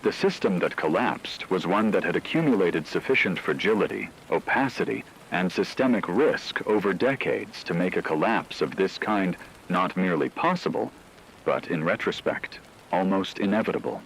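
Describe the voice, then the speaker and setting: A man playing a grave radio news broadcaster, reading a scripted bulletin in a solemn, measured and formal way. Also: sits low, lively intonation, slight background noise, rough recording. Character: grave male radio broadcaster reporting societal collapse.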